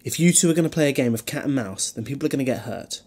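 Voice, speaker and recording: A man playing a flippant, protective alien time traveller in a fan audio drama, with a husky voice and wry, bantering delivery. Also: medium pitch, expressive, racing, darkish tone. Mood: stern, defiant.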